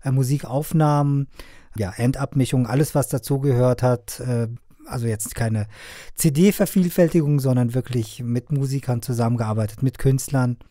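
A middle-aged man from Afghanistan, a sound engineer and media trainer, answering interview questions in a relaxed way, with many fillers and hesitations. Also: mid-range voice, normal speed, dry recording, clean studio sound. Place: studio.

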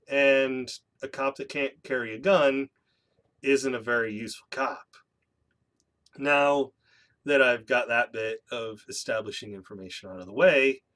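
A man in his thirties talks straight to his webcam from a small room at home, sounding a little stuffy and nasal as he gets over a cold. He speaks at a medium pitch, in a candid, measured way, with an occasional dry joke at his own expense. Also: a bit bright. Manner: candid and measured, with dry self-deprecating asides. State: congested from a cold, slightly nasal. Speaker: YouTube channel host. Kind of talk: personal vlog monologue. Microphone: webcam in front of a seated speaker.

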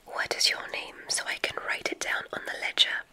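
A woman whispering in a breathy, gentle way, playing a gracious witch dean in an ASMR roleplay. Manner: gentle, drawn-out, effusively complimentary. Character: gracious, welcoming witch dean of a magic school.

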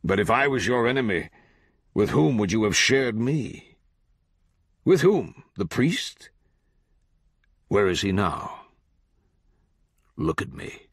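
Man voicing a grandiloquent, slow, menacing judge. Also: lowish voice, big pitch swings, husky, dry recording, studio quality, voice pushed up, heavily acted. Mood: probing. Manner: grandiloquent, deliberate, archaic phrasing. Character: eloquent, menacing, smiling older judge, grandiose and coaxing. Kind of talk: literary audiobook character voice.

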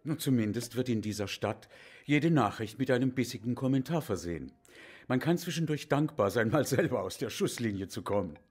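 An actor playing a wry, bookish Viennese historian in a German audio drama, with a husky voice. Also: male voice, mid-range voice, animated, fairly quick, a little mellow. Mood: wry, teasing. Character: bookish, self-mocking male Viennese historian, wry and absorbed.

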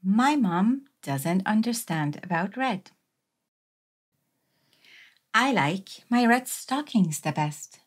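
A woman reading a children's picture book aloud as a storyteller, in a deliberate, simple manner. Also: mid-range voice, expressive. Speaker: read-aloud storyteller and host.

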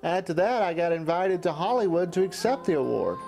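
A man's voice: high-pitched, big pitch swings, fairly quick, creaky, a little mellow, faint music behind, low-quality recording. A man narrating a scripted look back as a filmmaker.

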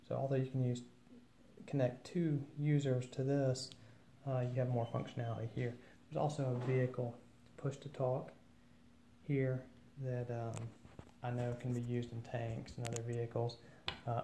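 A man giving an informal, matter-of-fact instructional walkthrough of equipment, with hesitant pauses. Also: medium pitch, a little mellow, a little hiss or hum. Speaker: presenter of an equipment demo. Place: indoors at a wooden table at home.

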